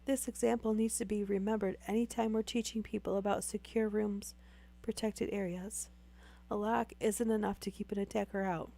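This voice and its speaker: A woman's voice: mid-range voice, little pitch movement, moderate pace, creaky, dark tone. Woman streamer losing her voice. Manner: chatty, rambling, many fillers. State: losing her voice, sore throat. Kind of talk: casual chat with live viewers.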